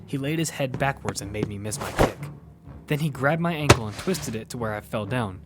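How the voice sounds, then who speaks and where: A man reads dramatic first-person action fiction in an earnest storytelling way, at an everyday male pitch. Loud music runs right behind his voice. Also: animated, a little fast. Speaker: first-person narrator and character in an audio fiction.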